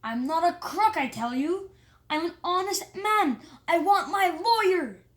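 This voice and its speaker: A reader putting on a loud, indignant mayor. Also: very high-pitched, animated, unhurried, pitched above the performer's own voice, exaggerated voice. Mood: loud, pleading. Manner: loud, protesting. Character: indignant, pleading crooked adult male mayor.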